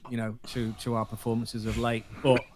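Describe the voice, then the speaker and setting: A male panellist chats about football on a studio podcast. His pitch is average, and he speaks in a measured, casual way, repeating phrases as he builds a point. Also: flat delivery, a little mellow, slight background noise.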